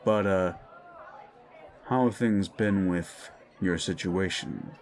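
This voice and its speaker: Man voicing a low, unimpressed bar boss. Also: takes it slowly, a faint crowd. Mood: resigned. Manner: dry, unimpressed. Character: gruff, sardonic bar boss. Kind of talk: scripted audio drama dialogue.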